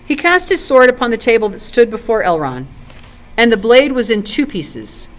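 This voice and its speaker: A woman reads a fantasy novel aloud as a volunteer narrator. Her storytelling is expressive, with a lively range of pitch. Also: moderate pace. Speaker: volunteer reader.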